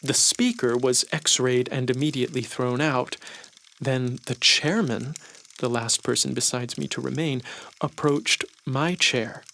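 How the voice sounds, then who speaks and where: A man reading a satirical literary story as a narrator, in a measured, expressive storytelling manner. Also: medium pitch, creaky, poor audio. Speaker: audiobook narrator. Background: music.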